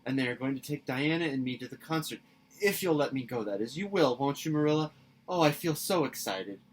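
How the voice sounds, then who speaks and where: A man voicing an excitable, dramatic young orphan girl in a storybook reading, gushing and pleading earnestly. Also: mid-range voice, fairly quick, voice pushed up, close to an everyday voice, rough recording. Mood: excited, hopeful.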